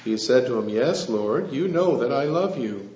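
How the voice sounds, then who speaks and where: A soft-spoken missionary preacher giving an earnest sermon, pausing often with "uh". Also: male voice, medium pitch, lively intonation. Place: church hall. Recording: roomy lo-fi church recording with faint hum.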